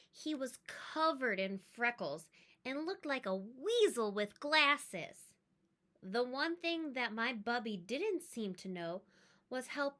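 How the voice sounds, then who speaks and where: A woman reading a children's story aloud in an expressive, emphatic way, with chatty asides. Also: fairly high pitch.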